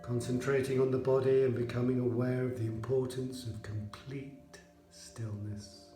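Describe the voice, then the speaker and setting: A man with a dark, relaxed voice guides listeners through a meditation. He speaks in measured, soothing phrases with pauses between them. The sound is roomy, with gentle music behind him. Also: mid-range voice. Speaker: yoga nidra instructor.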